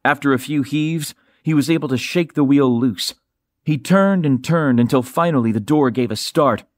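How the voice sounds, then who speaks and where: A man reading an audiobook as a narrator, with dramatic, expressive and suspenseful delivery, close to a studio microphone. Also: mid-range voice, moderate pace, no echo. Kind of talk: dramatic scripted fiction narration.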